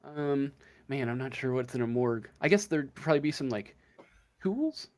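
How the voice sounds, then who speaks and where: A man acting as game master, improvising a tabletop story in a casual, halting way as he searches for words. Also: medium pitch, lively intonation, a little mellow.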